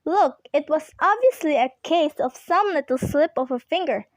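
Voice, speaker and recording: A high-pitched, dryly recorded woman playing an anxious invalid wife in a radio drama. She is fretful and imperious, stumbling over words as she makes her demands. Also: lively intonation, moderate pace, no room sound, clean studio sound. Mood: indignant, impatient. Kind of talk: amateur radio play acting.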